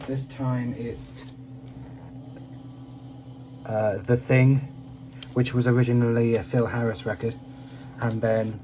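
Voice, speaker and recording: Distant, noisy recording of a halting man. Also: medium pitch, warm tone. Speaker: record collector presenting an unboxing video. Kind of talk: casual unboxing commentary. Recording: distant mic with clear background noise.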